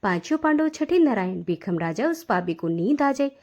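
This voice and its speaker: A woman's voice: mid-range voice, sing-song, moderate pace, darkish tone, dull audio. A woman voicing a devout, virtuous young wife from a folk tale, with chanting, prayerful phrasing.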